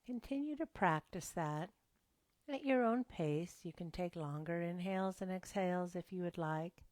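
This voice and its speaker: A woman leading a gentle yoga and breathing class, speaking softly and sometimes dropping to a whisper. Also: lowish voice, no room sound. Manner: slow, soft-spoken and gentle, drifting into whispers. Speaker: yoga instructor.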